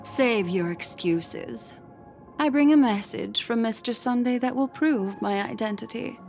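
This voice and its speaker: A poised game envoy woman who needles with knowing condescension. Her theatrical lines come unhurried, with music clearly playing behind her. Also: fairly high pitch, a little mellow. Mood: knowing, composed. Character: poised, needling female envoy and exposer of lies. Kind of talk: video game voice acting.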